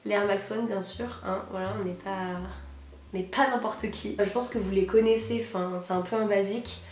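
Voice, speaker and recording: A young woman, hoarse from a cold, chatting casually and slangily to camera as an enthusiastic vlogger. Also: medium pitch, fairly even intonation, moderate pace, a little mellow, slight background noise. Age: teens to young adult, high school student. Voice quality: hoarse, husky. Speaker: sneaker vlogger presenting purchases. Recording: roomy echo, music behind.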